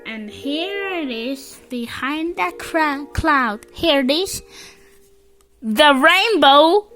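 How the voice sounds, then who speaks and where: A very high, childlike voice reads a picture book aloud at a slow pace. The delivery is halting, with stumbles and repeated words, and the pitch moves up and down in a lively way. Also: soft background music. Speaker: storybook reader.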